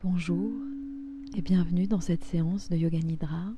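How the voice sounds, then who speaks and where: A woman leading a guided yoga nidra meditation in a soft, soothing, unhurried way, pausing between phrases. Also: fairly low pitch, fairly even intonation, background noise. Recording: music behind the voice.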